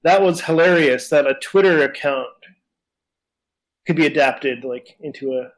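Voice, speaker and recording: A man's voice: on the high side, moderate pace, poor audio. A man co-hosting a podcast in casual chat, wandering and hesitant, with a self-deprecating manner. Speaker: podcast co-host.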